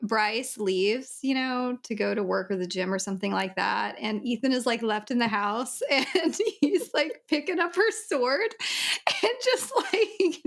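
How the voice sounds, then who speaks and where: Higher-voiced woman chatting about books. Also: unhurried, gravelly. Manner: chatty, loosely strung retelling with frequent "like". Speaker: podcast co-host. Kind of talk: casual book discussion.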